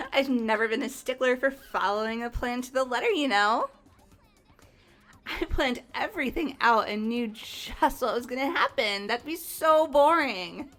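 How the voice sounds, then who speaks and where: A woman's voice: fairly high pitch, lively intonation, measured pace, a bit bright, faint music behind. A woman voicing a callous teenage mastermind of despair with a flippant, mocking manner in a fan-acted video game. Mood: smug, amused. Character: gleefully callous female teen mastermind of despair. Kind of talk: amateur voice acting of a video game.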